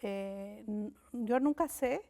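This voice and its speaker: A woman from Mexico, a native Mixe speaker, talks as a studio interview guest. Her voice sits in a middle register, and she builds her points slowly, stopping for fillers and restarting phrases as she thinks aloud. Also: medium pitch, mellow. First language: Mixe (Ayuujk). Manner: reflective, frequent fillers and restarts. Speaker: guest, linguist and writer. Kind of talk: thoughtful conversational interview. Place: TV studio set.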